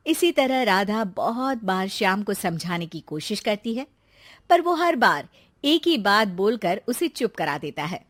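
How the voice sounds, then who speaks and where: Lively woman narrating a cartoon. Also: medium pitch, unhurried, no echo. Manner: lively storytelling. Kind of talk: scripted storybook narration.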